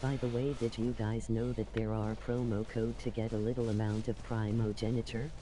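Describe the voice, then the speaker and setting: A synthetic male voice reading viewer chat messages aloud in a flat, even way. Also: lowish voice, moderate pace, a crowd in the background. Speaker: text-to-speech chat reader. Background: game music.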